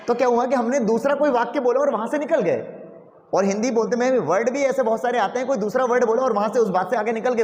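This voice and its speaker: Male teacher, high voice, rhetorical questions to students, roomy classroom echo. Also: a little mellow. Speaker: English teacher. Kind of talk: classroom lecture.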